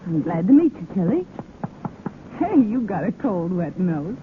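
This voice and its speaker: A woman's voice: lowish voice, big pitch swings, a little slow. An elegant, playful young lady in a 1930s radio romance. She banters lightly, on a narrow, faintly scratchy broadcast recording. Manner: flippant, bantering.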